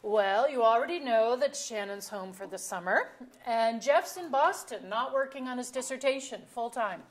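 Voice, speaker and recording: An actress plays a middle-aged wife and mother with a fairly high voice. She is blunt and teasing, delivering her lines dryly in a naturalistic stage comedy reading. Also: lively intonation, normal speed, natural voice. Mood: wry. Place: auditorium stage.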